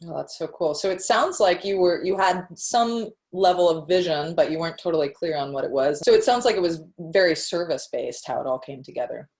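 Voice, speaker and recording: A woman with a fairly low voice hosts an interview. She asks her guest questions and answers what she hears with encouraging, affirming remarks. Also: fairly quick, hardly any pauses, a little mellow. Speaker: interview host. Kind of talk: interview conversation.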